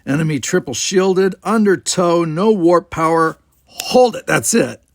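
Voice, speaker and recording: A man's voice: fairly high pitch, expressive, unhurried, no room sound, clean studio sound, pitched above the performer's own voice. A man voicing a determined, defiant young cadet commander who barks clipped, urgent orders in an audiobook. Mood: urgent, decisive. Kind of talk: dramatized audiobook dialogue.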